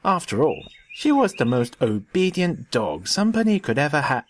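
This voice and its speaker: A man narrating a scripted story in an expressive, theatrical way. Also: fairly high pitch, moderate pace.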